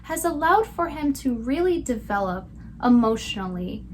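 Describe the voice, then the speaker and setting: A woman's voice: on the high side, very animated, normal speed, slight background noise. A woman delivering scripted commentary in a sarcastic manner. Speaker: video commentator.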